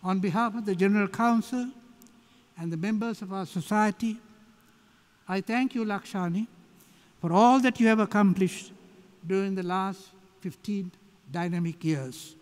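An elderly man with a Sri Lankan accent giving a formal, solemn tribute in measured phrases at a church lectern. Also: high voice. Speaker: church society speaker. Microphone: gooseneck lectern microphone. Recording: echoey hall, long reverb.

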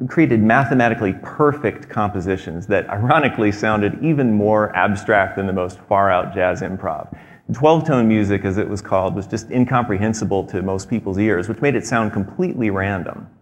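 A man in his thirties giving a prepared talk on a theater stage, measured and explanatory, with frequent fillers. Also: on the low side. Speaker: presenter. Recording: long hall reverb.

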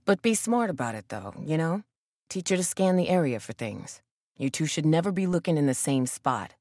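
A woman's voice: rumbling low, darkish tone, dry recording. A woman voice actor playing a guarded, blunt, protective young survivor of a zombie apocalypse in a video game, with a dry delivery. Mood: dry, cautionary.

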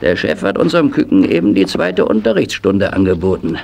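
Casting: older male stable hand, heavily creaky, animated, teasing, for a children's radio play. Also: lowish voice, brisk, exaggerated voice. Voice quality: gravelly. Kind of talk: 1980s German children's audio drama acting.

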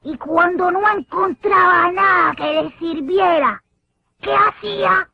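An actor voicing a curious, excitable small child in an educational cartoon, with childlike, exclamatory questioning. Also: very high voice, slow, gravelly, full character voice. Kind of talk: animated educational cartoon dialogue. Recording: dull lo-fi old cartoon soundtrack, faint music.

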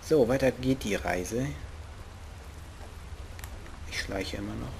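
A man's voice: medium pitch, takes it slowly, vocal fry, noisy background. A young man with a regional German dialect, commenting casually and jokingly while he plays a game. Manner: joking, playful, talks to himself. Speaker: let's play host.

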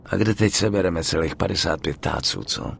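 A man's voice: low-pitched, vocal fry, fairly bright tone, slight background noise, full character voice. An actor playing a tough, sceptical mobster in his thirties, sardonic and streetwise, in a dubbed crime game. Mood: groggy, wry. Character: tough, sceptical young male mobster hero. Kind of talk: dramatic dubbed video game acting.

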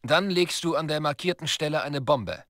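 A man's voice: fairly high pitch, moderate pace, a bit bright, no room sound, clean studio sound, natural voice. A man voicing a stern military commander in a video game, giving curt, commanding instructions. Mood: brisk, instructing. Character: stern male military commander briefing a soldier.

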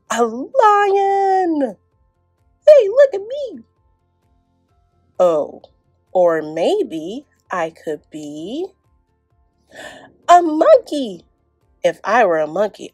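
A man's voice: very high-pitched, darkish tone, no room sound, studio quality, exaggerated voice. A woman reading a picture book as a bored, imaginative little boy, musing and exclaiming dramatically. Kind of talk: picture book read-aloud.

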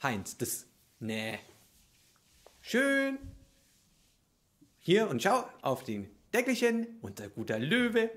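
A man's voice: high-pitched, huge pitch swings, slow, long pauses, fairly bright tone, full character voice. A man in an improvised audio play playing a contented, simple-hearted warehouse worker, earnest and easily baffled. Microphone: microphone on stand.

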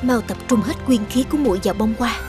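A woman voicing a protective elder-sister fairy in an animated fairy tale, earnest and urgent. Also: on the high side, fast, over music, close to an everyday voice. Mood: worried, urgent.

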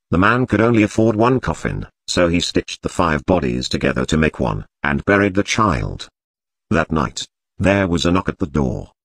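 A man voicing a candy robot in a video game, telling grim tales in a measured, deadpan way. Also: low voice, no echo. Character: monotone candy-dispensing robot telling grim bedtime stories. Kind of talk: scripted video game character narration.

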